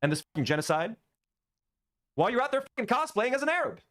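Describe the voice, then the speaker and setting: Man from lebanon ranting, swears a lot, quick and high pitched. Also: very animated, a bit bright. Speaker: streamer in clip.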